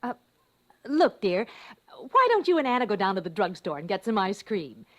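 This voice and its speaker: Woman as uneasy mother, medium pitch, gentle, searching, trailing off, vintage radio drama. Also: very animated, normal speed, dry recording, lightly acted. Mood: uneasy, probing. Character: anxious, gentle mother and housewife hiding unease. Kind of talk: old-time radio drama acting.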